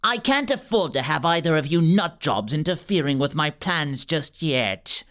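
A man's voice: a little high, expressive, normal speed, a bit bright, pitched below the performer's own voice. Scheming boy villain played by a man, cool, menacing, self-assured, in an altered voice, storybook reading. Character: smug scheming boy villain who commands squirrels.

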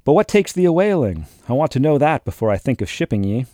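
A man voicing a gruff, suspicious old sea captain who blusters and fires challenging questions in archaic speech. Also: mid-range voice, sing-song, fast, dark tone, no room sound, studio quality, voice pushed up, natural voice.

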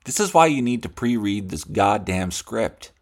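A man with a low voice hosts a relaxed podcast chat. He talks informally, with teasing encouragement and some casual swearing. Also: normal speed. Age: adult son of the other speaker. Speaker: podcast host.

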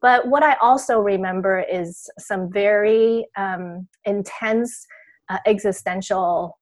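A woman's voice: mid-range voice, slow. A woman from Taiwan, an author and guest on a podcast, speaking thoughtfully with frequent ums. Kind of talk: podcast interview.

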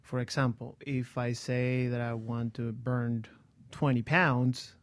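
Man, middle-pitched voice, talk-radio co-host, halting delivery with lots of "um" and restarts. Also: little pitch movement, dry recording. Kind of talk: motivational talk show chat.

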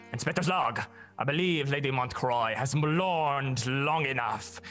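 A grandiose space inspector, full of himself, swinging his bright voice up and down as he drops French phrases, as a young man acts him out. Faint music hums behind this comedic student radio play. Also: medium pitch. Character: pompous boastful male space detective inspector.

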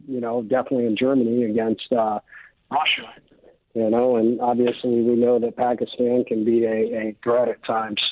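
A man's voice: mid-range voice, noisy background. A middle-aged man with an American accent gives his opinions as an interview guest, speaking earnestly in an emphatic, conversational way. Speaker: interview guest, military veteran. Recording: dull lo-fi radio-like sound.

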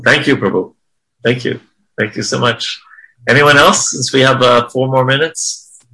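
A man's voice: medium pitch, moderate pace, pushed and bright, husky, rough recording. A man leading an informal religious class in an encouraging, affirming way. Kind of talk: informal spiritual class discussion.

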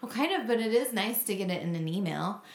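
A woman's voice: medium pitch, lively intonation, fast talker. A woman co-hosting a podcast in casual conversation, recorded with a roomy echo. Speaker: podcast co-host. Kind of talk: casual chat. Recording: roomy, echoing sound.

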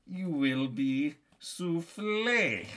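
A menacing French cannibal captor, played by a male storyteller in a comic tale. He speaks slowly and haltingly in a slightly high voice, dropping in French phrases. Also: expressive, pushed and bright, exaggerated voice.

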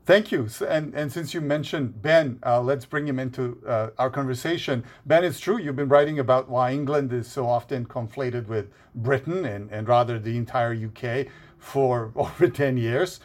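A man's voice: mid-range voice, normal speed. A man moderates a panel discussion. He thanks each speaker and turns politely to the next with a long question.

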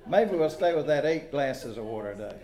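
An American man preaching a sermon in a folksy, conversational way, pausing deliberately between phrases. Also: fairly high pitch, expressive, a little mellow. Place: church sanctuary during a service. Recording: echoey room sound.

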